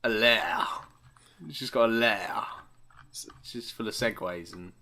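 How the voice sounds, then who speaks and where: A man's voice: on the low side, a little slow, pushed and bright. A man co-hosting a podcast, bantering casually with teasing questions and interjections, recorded with some background noise. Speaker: podcast co-host.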